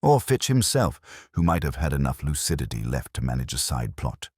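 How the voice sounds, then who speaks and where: A man's voice: extremely low pitch, sing-song, brisk, darkish tone, slightly rough, no room sound, studio quality, exaggerated voice. A man voicing a streetwise detective's assistant with a sardonic, questioning manner, in an audiobook. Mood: sardonic, probing. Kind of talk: audiobook character dialogue.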